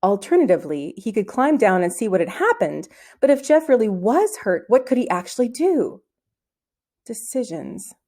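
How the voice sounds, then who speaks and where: A woman reading a children's novel aloud as an expressive storybook narrator. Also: on the high side, normal speed. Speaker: storybook reader.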